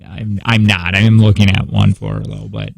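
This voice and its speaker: A man's voice: on the low side, level tone, normal speed, creaky. A male radio host in casual studio chat, rambling with many restarts and second-guessing himself. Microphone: studio mic.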